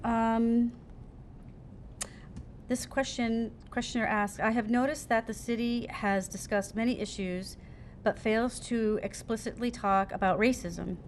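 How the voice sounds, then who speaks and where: A woman moderator with a slightly higher voice reads out audience questions and calls on candidates. She sounds a bit away from the mic and soft, with a faint rumble underneath. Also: steady tone, measured pace. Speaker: forum moderator.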